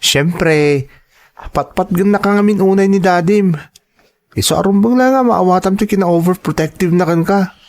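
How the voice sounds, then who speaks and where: A man's voice: high voice, normal speed, a little mellow. A man with an Ilocano accent playing an easygoing, grumbling college student in an audio drama. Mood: earnest.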